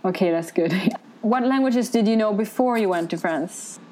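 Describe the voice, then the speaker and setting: A woman asking questions and reacting softly in a casual interview. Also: mid-range voice, normal speed. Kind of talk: casual chat.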